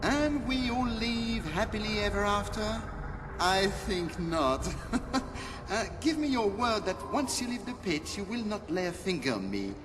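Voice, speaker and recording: Sly, high-pitched villain, cavernous reverb. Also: male voice, expressive, a little slow. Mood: smug, scheming. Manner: oily, wheedling, mock-courteous. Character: sly, cowardly, pleading villainous male rival treasure hunter. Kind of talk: video game cutscene acting. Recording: long cavernous reverb under music.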